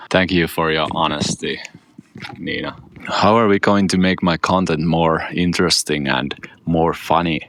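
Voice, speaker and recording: A Finnish man talks in a dry, self-mocking monotone and chuckles between remarks. His voice is very low and slow. His tone is bright and forceful, though his delivery stays flat. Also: no echo. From Finland. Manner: dry, self-deprecating, laughs often. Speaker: vlogger. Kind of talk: casual vlog chat. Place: outdoors on a boat.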